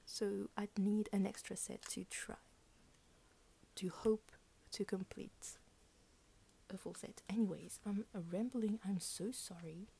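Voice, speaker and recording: A woman's voice: medium pitch, steady tone, slow pace, creaky, pauses often, dark tone, slightly rough, low-quality recording. A tired young woman whispering at home, gushing and rambling as she unboxes collectible cards. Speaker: unboxing vlogger.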